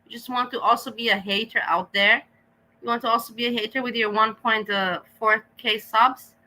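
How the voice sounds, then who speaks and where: A woman's voice: on the high side, fairly even intonation, normal speed, bright, forceful tone, rough recording. A woman with an Austrian accent giving a profane, scolding rant while reacting to clips. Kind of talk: ranting reaction commentary.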